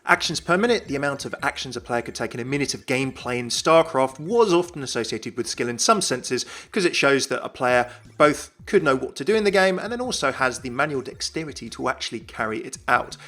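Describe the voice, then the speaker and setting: A man's voice: medium pitch, animated, a little fast, few pauses, a bit bright. A man narrating a scripted video game explainer as a YouTube host, enthusiastic and explanatory.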